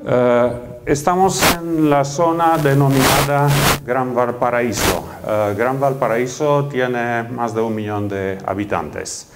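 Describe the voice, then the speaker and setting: A man's voice: mid-range voice, slow, hardly any pauses, heavy background noise. A middle-aged man from Romania with a Romanian accent, giving a conference keynote talk with frequent hesitant fillers. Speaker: keynote speaker. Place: conference hall.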